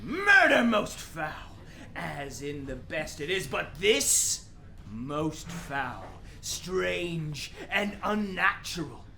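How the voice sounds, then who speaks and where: Anguished dead king's ghost, high piercing voice, slow theatrical declamation, Shakespeare radio play, noisy recording. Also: big pitch swings, gravelly, bright, forceful tone, heavily acted. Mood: solemn, mournful. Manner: theatrical, drawn-out declamation with outcries. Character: anguished ghost of a murdered king and father.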